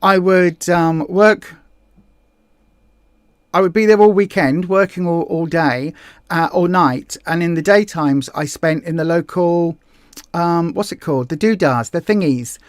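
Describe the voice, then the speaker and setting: A woman's voice: on the low side, a little slow, no echo, studio quality. Rambling Southeast English woman host. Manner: rambling, self-correcting, many fillers. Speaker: livestream host. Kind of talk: casual livestream chat, reading viewer comments.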